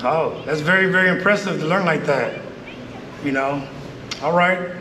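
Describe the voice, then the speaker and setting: High-voiced male interviewer, very echoey. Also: moderate pace, bright, forceful tone. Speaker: interviewer, travel show host. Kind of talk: casual interview. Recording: echoey, reverberant space with background noise.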